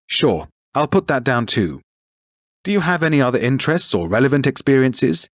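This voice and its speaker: A man acting as a brisk, businesslike interviewer. His pitch moves a lot as he asks each question. The sound is dry and close. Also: sing-song, dry recording, natural voice. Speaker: interviewer filling in a form. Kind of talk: scripted textbook listening dialogue.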